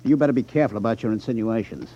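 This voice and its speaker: An American actor playing a slick, short-tempered gambling boss with brusque, mocking tough talk in 1950s radio drama style. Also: male voice, fairly low pitch, rapid-fire, dark tone, close to an everyday voice. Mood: smooth, defensive. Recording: dull 1950s radio recording.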